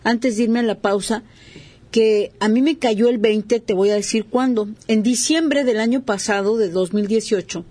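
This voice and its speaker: Mellow woman hosting a talk show. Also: fairly high pitch, normal speed. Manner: conversational, frequent "eh" fillers.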